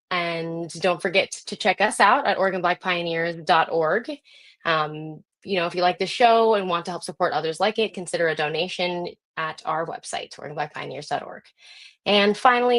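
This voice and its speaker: A woman hosting a podcast interview in a casual, conversational way, informal and full of appreciation for her guest. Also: mid-range voice. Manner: informal, effusively appreciative, affirming. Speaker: podcast host.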